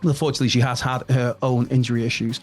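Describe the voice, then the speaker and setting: Raspy man chatting wrestling news. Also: medium pitch, flat delivery, racing, faint music behind. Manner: chatty, rambling, with frequent restarts and laughs. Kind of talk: casual news rundown.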